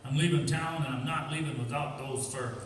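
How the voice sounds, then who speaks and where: Menacing gangster heavy, played by a man, medium pitch, sneering, radio play in a very echoey, distant hall. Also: steady tone, a little fast, close to an everyday voice. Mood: threatening. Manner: threatening, sneering. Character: menacing male gangster gunman, impatient tough crook. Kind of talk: old-time radio detective drama acting.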